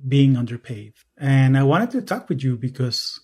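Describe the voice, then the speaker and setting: A man from Venezuela, hosting a podcast interview in an earnest, measured way with some halting restarts. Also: medium pitch, expressive, darkish tone, breathy edge. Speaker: podcast host.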